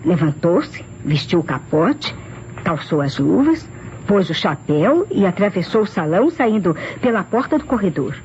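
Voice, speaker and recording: A nervous Brazilian witness in a vintage radio mystery. She speaks emphatically and theatrically, her pitch swinging widely. The recording is dull and faintly noisy. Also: very animated, moderate pace, a little mellow. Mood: dramatic, emphatic. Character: nervous, dramatic female guest witness at a mystery. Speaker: actress in a radio mystery serial. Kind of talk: old Brazilian radio drama acting.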